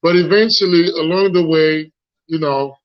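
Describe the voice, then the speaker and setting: A man's voice: on the high side, normal speed. A man in his forties from Haiti with a Haitian accent, a guest speaking frankly in a live interview and often repeating words as he searches for them. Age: 40s. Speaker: guest, founder. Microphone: phone. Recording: lo-fi phone audio.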